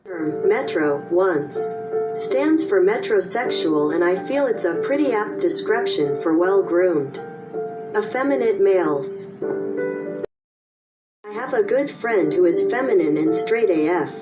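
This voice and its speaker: Woman, lowish voice, measured and reflective, distant, loud music behind. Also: lively intonation.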